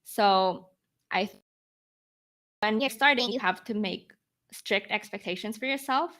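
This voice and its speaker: A woman's voice: medium pitch, measured pace, a bit bright, poor audio. A 20-year-old woman from the Philippines giving informal, conversational interview answers as a podcast guest, often posing questions to herself as she explains. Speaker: podcast guest, newsletter founder.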